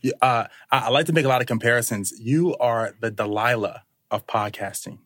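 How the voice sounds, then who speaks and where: A man hosting a podcast, with a boomy voice and a loose, jokey manner. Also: a little fast, dry recording, clean studio sound. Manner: loose, jokey, sing-song intro delivery. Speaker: podcast host. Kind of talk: casual interview chat. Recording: hip hop music loud under voice. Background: hip hop music.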